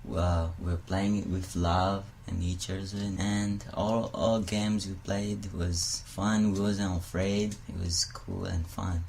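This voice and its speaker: A man's voice: sits low, flat delivery, takes it slowly, creaky, few pauses, darkish tone, a little hiss or hum. A sixteen-year-old boy from Tanzania, a soccer player speaking informally in an interview.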